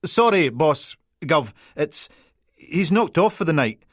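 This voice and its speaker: A man voicing a brusque young female police constable with a Peterhead Scottish accent, loud and flustered, stumbling over titles. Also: low-pitched, lively intonation, slow, dry recording, clean studio sound, pitched above the performer's own voice, exaggerated voice.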